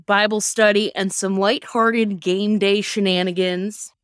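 A woman hosting a podcast in a playful, animated, joking style. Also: mid-range voice, measured pace, clean tone, no room sound, studio quality. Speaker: podcast host. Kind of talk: casual, joking group chat.

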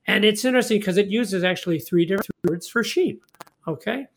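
A man's voice: high voice, a little fast, creaky, slight background noise. A man leading a conversational Bible study in a folksy, informal way, often putting leading questions to his partner. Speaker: host of a Bible study discussion.

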